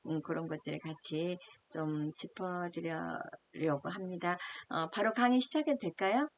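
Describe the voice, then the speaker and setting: A woman giving a smartphone lesson over a video call, speaking politely and gently with many soft fillers. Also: lowish voice, moderate pace. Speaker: smartphone instructor. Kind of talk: online video-call lecture.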